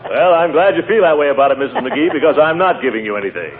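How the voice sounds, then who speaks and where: An actor playing a pompous, huffy, stingy fat neighbor in a 1940s radio comedy. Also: male voice, fairly high pitch, animated, fast, lightly acted. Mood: politely stiff. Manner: indignant, theatrically exasperated. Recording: tinny noisy old radio broadcast.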